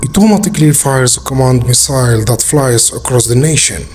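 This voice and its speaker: A man narrating a scripted suspense documentary in a dramatic, ominous way with deliberate pauses. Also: mid-range voice, a little mellow, slight background noise.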